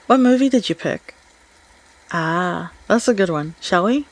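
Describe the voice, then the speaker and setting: A woman's voice: fairly low pitch, animated, measured pace, very noisy. A woman playing a caring, playful friend in a scripted audio roleplay, casual and soothing with some teasing. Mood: tender, teasing. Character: caring, playful female friend hosting a cozy evening.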